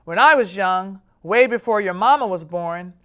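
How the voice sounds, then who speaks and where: A woman's voice: medium pitch, lively intonation, normal speed, a bit bright, clean tone, natural voice. A woman voicing a jovial, worldly old grandfather in a children's storybook, hearty and affectionate. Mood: reminiscing.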